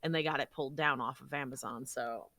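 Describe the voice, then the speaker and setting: A woman voice actor with a low voice for a female, chatting casually as an interview guest, recorded in a closet booth on a close microphone. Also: a little fast, gravelly, bright, forceful tone, dry recording. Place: walk-in closet used as a home recording booth. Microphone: close studio microphone.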